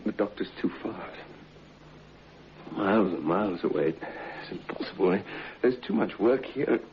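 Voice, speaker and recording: An actor playing an ailing, lovesick male patient on a plantation, halting in an old radio drama. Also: sits low, lively intonation. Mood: weak, strained. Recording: dull, noisy old radio recording.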